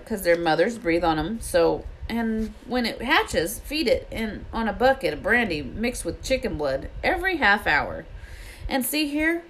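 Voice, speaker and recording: A woman acting out a big storybook gamekeeper, a proud and friendly man with rustic, blustering talk. The pitch is pushed up from the reader's own and swings about in lively fashion. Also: low-quality recording.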